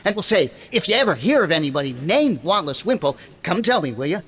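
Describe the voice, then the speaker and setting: A man's voice: high-pitched, sing-song, fast talker, fairly bright tone. A man playing a folksy, boastful, wisecracking husband in 1940s radio comedy style, full of slang. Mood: exasperated. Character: wisecracking, grumbling husband, boastful and quick to bicker. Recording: noisy live recording with audience.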